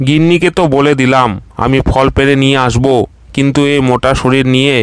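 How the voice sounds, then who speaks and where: A man voicing a lazy, plump, soft-hearted male crocodile in a cartoon, with an easygoing, meek way of talking. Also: mid-range voice, steady tone, fairly quick, clean tone, no room sound, soft background music, natural voice. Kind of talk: cartoon voice acting.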